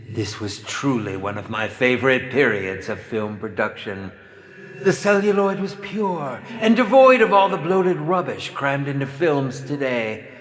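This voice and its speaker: An actor playing a sadistic, theatrical horror film director in a video game, with flamboyant delivery and gleeful menace. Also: male voice, high voice, sharp tone, exaggerated voice. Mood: nostalgic, sinister. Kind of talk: video game villain voice acting. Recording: echoing long reverb, music behind.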